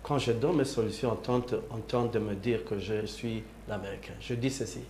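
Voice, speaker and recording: A man's voice: lowish voice, steady tone, normal speed, darkish tone. Man, Congolese accent, strongly echoing room, faint background hum, insistent personal debating style, repeated phrases. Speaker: debate panelist. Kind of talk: political debate.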